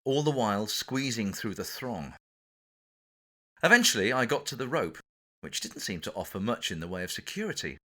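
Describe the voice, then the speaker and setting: A man's voice: on the low side, big pitch swings, normal speed, fairly bright tone. A man with an English accent reading his own memoir for an audiobook, telling the anecdote with theatrical, dramatic emphasis. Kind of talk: audiobook narration, scripted anecdote.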